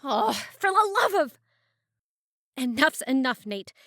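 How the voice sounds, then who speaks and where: Actress as hot-tempered young stepdaughter, high voice, swooping intonation, raw, sarcastic, audio drama. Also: female voice, big pitch swings, slow pace, a bit bright, no room sound, studio quality, heavily acted. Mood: exasperated. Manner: sarcastic, confrontational, emotionally raw.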